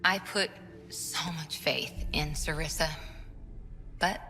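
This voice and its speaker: A woman's voice: low-pitched, takes it slowly, bright, forceful tone, long reverb tail, slight background noise, exaggerated voice. An actress playing a principled, self-doubting woman soldier in a video game cutscene. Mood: downcast, confiding.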